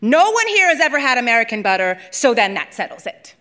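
A woman's voice: a little high, very animated, fast, fairly bright tone. Woman voicing a strong-willed, emphatic, theatrical woman. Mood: triumphant. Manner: emphatic, declaiming.

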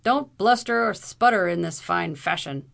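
A woman narrator plays a bold lawyer in an old storybook tale. He is brisk, commanding and drily amused, speaking up firmly with a creaky edge. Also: high-pitched, lively intonation, fairly bright tone, heavily acted. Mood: brisk confidence.

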